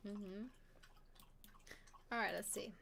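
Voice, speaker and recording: A woman co-hosting a live stream with a hoarse voice, chatting casually and greeting viewers. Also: medium pitch, fairly even intonation, slow pace, crowd noise. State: hoarse, losing her voice.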